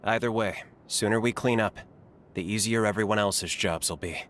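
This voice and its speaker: An actor playing a laid-back, dry-witted fighter and reluctant hero in a game cutscene, understated in manner. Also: male voice, on the low side, normal speed, fairly bright tone, a little hiss or hum, lightly acted. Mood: wry, casual. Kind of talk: dramatic game cutscene dialogue.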